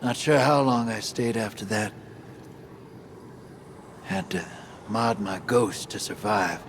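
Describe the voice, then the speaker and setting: An actor playing a world-weary roguish survivor in a video game, with a gravelly drawl, speaking in wry, clipped phrases. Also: male voice, fairly low pitch, slow pace, a little hiss or hum. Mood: haunted, grim. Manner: wry, understated, clipped storytelling.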